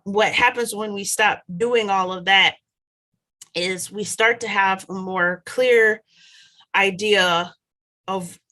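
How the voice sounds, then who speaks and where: A woman in her thirties, a scholar, explaining her ideas in a measured, thoughtful solo talk over a headset on a video call from her home study. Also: medium pitch, bright, forceful tone. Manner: measured, thoughtful, with occasional restarts.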